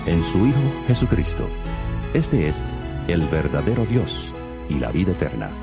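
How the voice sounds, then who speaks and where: A man with a strikingly low voice reads scripture in a solemn, measured way. His delivery swings widely in pitch and sinks to a hush at verse endings. Clear guitar music plays beneath him. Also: very animated. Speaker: Bible reader. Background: plucked guitar music.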